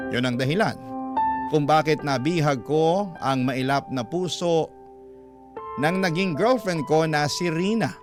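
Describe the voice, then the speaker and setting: A man working as a radio storyteller, reading a listener's letter in scripted, dramatic narration. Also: fairly high pitch. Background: loud background music.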